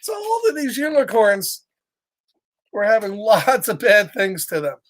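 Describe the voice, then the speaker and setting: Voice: medium pitch, wildly swooping pitch, a little slow. A children's storyteller reading a picture book casually, in simple repeated phrases, checking in with listeners.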